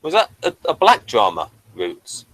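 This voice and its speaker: A man with an average-pitched voice hosts a casual review chat. He talks hesitantly, working out his thoughts as he goes. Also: huge pitch swings, unhurried, a bit bright, dry recording, rough recording. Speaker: podcast host.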